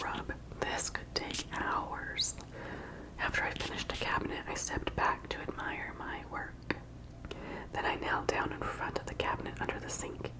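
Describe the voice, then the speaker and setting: A woman narrating a children's story in a breathy ASMR whisper, expressively acting out the dialogue. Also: low-quality recording. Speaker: ASMR host reading aloud.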